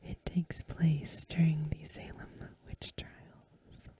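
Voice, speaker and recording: A woman speaking in a hushed, gentle ASMR style, breathy and mostly whispered, unhurried as she hosts and reads aloud. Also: sits low, flat delivery. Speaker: host of an ASMR channel, academic reader. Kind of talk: soft-spoken ASMR talk and story reading.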